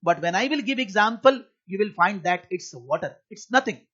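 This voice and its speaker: A man's voice: high-pitched, expressive, normal speed, fairly bright tone. A middle-aged man with an Indian English accent and Hindi as his first language, giving an online accounting tax class in an earnest, repetitive, reassuring way. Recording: muffled lo-fi recording.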